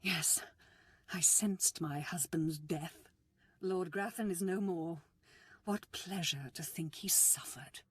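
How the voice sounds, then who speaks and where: Low, husky, scheming Sith noblewoman. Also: slow pace, no room sound, exaggerated voice. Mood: cold satisfaction. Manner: silky, commanding, haughty. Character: scheming, seductive middle-aged Sith noblewoman, coolly imperious. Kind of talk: video game voice acting.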